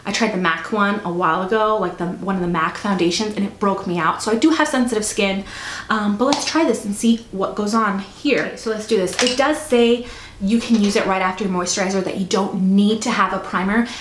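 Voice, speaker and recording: A woman with a cold talking casually through a makeup review, chatty and soft-spoken, sometimes close to a whisper. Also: mid-range voice, a little fast. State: stuffy, fighting a cold. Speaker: beauty vlogger. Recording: echoey room, faint noise.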